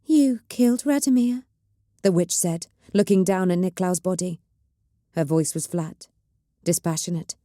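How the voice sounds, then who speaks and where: A woman voicing a slight young heretic witch who speaks in a flat, dispassionate, small voice. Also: lowish voice, unhurried, no room sound, studio quality, lightly acted.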